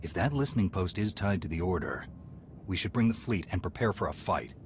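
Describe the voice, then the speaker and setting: A man's voice: deep voice, expressive, a little fast, a little hiss or hum. A man playing a resolute commander in a sci-fi video game, firm and commanding. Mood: determined. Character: resolute male commander leading a space mission. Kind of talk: scripted video game acting.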